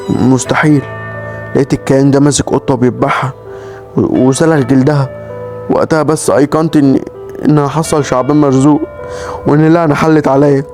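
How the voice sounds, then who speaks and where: A man's voice: fairly high pitch, darkish tone. A man with an Egyptian Arabic accent telling a first-person horror story in a dramatic, tense scripted narration.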